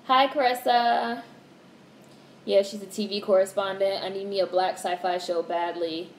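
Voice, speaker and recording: A young woman in her twenties chats with her livestream viewers in a flat, monotone way. She speaks candidly, swears casually and reads out comments. The sound is roomy, with a faint hiss behind it. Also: medium pitch, moderate pace. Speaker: livestream host.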